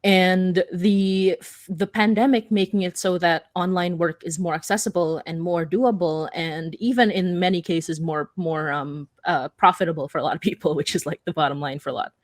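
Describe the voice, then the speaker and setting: A woman's voice: lowish voice, fairly even intonation, moderate pace, hardly any pauses, no room sound, clean studio sound. A woman from the Philippines speaking casually and informally as a podcaster on a panel, with a self-deprecating way of talking. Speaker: audio drama podcaster and panelist.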